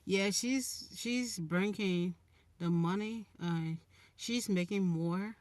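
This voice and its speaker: Young woman, Liberian accent, unhurried, earnest advice, live-stream host, frequent restarts. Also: medium pitch, a little mellow, no room sound. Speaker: relationship channel host. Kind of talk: casual live-stream chat answering viewers.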